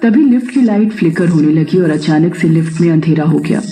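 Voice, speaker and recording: A man's voice: fairly high pitch, animated, a little fast, dark tone. A man reading a scripted horror story as its storyteller.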